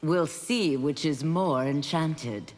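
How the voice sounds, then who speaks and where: A woman's voice: low voice, slow. An actress playing an earnest, solemn warrior woman in a fighting game, speaking formally. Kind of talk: fighting game character banter. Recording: loud background noise, long reverb.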